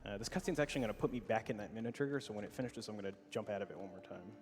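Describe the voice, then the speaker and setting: A man's voice: fairly low pitch, racing. A man playing a game live and casually explaining its mechanics as he goes, with loose fillers. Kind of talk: casual live gameplay commentary. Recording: loud game music behind voice.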